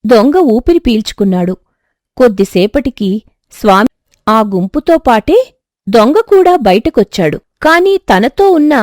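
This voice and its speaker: A woman reads a scripted story as narrator. Her voice sits high and moves with lively, expressive intonation. The sound is very dry. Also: no echo.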